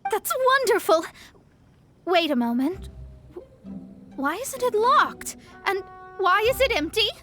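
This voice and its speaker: Brief: proud, bookish young astrologer, bright upper voice, faint music underneath. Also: female voice, very high-pitched, sing-song, takes it slowly, full character voice. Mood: impatient. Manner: poised, lofty, slightly condescending. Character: proud young female astrologist, haughty and scholarly. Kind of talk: video game cutscene voice acting. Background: soft game music.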